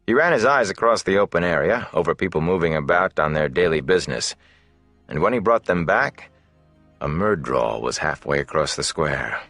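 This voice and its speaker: A man with a low voice reads a fantasy novel aloud. His narration is measured and dramatic. The recording is dry, with faint music underneath. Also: animated, fairly bright tone, dry recording. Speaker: audiobook narrator.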